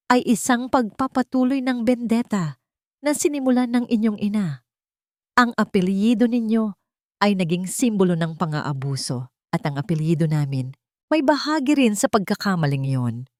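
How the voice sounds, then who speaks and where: A woman storyteller voicing a brave young woman seeking justice, speaking firmly, clearly and earnestly in an audio drama. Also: medium pitch, animated, moderate pace, dry recording, clean studio sound, pitched above the performer's own voice, lightly acted. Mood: defiant, calm.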